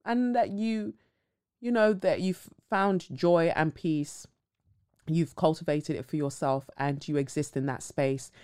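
A woman hosting a podcast, talking bluntly and casually, swearing freely, with dramatic asides. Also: fairly low pitch. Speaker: podcast host. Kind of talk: casual commentary monologue.

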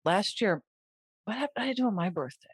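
A woman in her forties chatting casually with a friend and co-worker, telling stories in an animated way. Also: medium pitch, a little fast, husky, no room sound, studio quality. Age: 40s. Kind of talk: casual chat.

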